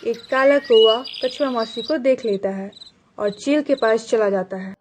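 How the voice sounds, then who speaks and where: A woman's voice: on the high side, unhurried, a little mellow, a little hiss or hum. A woman reading a scripted children's story as the narrator.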